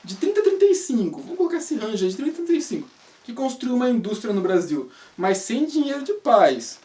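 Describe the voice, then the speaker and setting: A man's voice: very high voice, very animated, a little fast, mellow. A man in his thirties from Brazil with a Brazilian Portuguese accent, hosting a casual monologue that rambles with rhetorical questions and tag questions. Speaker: channel host, English teacher. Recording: roomy sound, mic at a distance.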